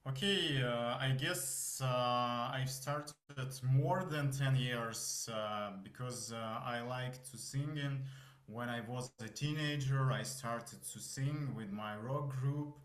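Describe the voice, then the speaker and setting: A man in his thirties from Russia with a Russian accent, a voice student telling his story in a podcast interview, halting and searching for words. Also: medium pitch, a bit bright. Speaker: voice student guest. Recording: echoey room.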